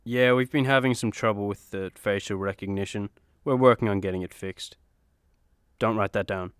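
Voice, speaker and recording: An actor playing a vain, fretful superhero, easily put on the defensive, in a comedy radio play. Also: male voice, fairly low pitch, moderate pace, natural voice. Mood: polite, nervous. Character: vain, anxious, image-conscious superhero.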